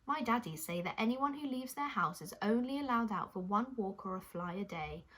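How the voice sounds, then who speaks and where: A British woman plays an eager little boy bird from a children's puppet show. The voice is childlike and slightly husky, earnest and full of admiring exclamation. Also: on the high side, animated, moderate pace, a bit bright. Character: young male bird Bertie, eager, admiring, careful rule-follower.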